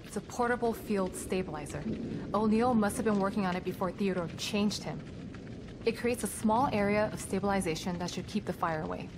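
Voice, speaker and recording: An actress playing a composed, caring and brave team psychologist in a video game, explaining things in a reassuring manner. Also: female voice, medium pitch, a little fast, background noise, close to an everyday voice. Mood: steady, determined. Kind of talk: dramatic video game acting. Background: music.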